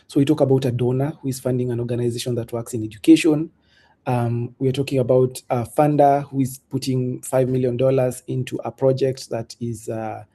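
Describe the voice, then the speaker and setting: A man speaking as a panelist in a discussion, making his points in a measured, reflective way with rhetorical questions. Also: mid-range voice, mellow.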